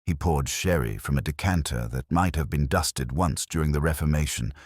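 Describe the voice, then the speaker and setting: A man voicing a melancholy older retired police inspector, narrating a literary detective drama in a measured, wistful, erudite way with wry touches. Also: extremely low pitch, no room sound, clean studio sound. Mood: wistful, brooding. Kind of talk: literary first-person detective drama monologue.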